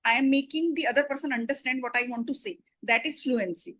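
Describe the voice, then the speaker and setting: A woman from Delhi with an Indian English accent and Hindi as her first language, explaining her views in a measured, thoughtful way. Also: high voice, fairly bright tone. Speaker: interviewee. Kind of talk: casual English practice conversation.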